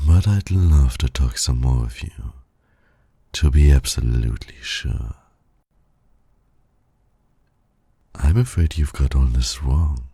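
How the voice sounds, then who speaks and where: Man playing a very deep, gravelly suave villain. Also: measured pace, mellow, dry recording, full character voice. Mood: smooth, assured. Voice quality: gravelly, breathy, husky. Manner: teasing, silky, formal and condescending. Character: suave male villain flirting with heroic nemesis. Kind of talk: ASMR romance roleplay.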